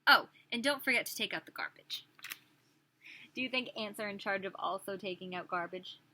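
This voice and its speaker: A woman's voice: medium pitch, moderate pace, pushed and bright. A woman preschool teacher reading a picture book aloud expressively, with questions and chatty asides for small children.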